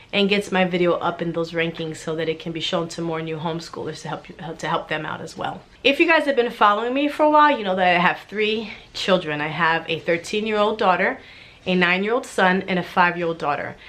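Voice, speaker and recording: A woman's voice: lowish voice, expressive, a little fast, fairly bright tone. A woman in her thirties, a homeschooling mom vlogger, chatting casually to viewers with a congested, stuffy voice from a cold. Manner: chatty, friendly, talks straight to viewers. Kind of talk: casual chat to camera.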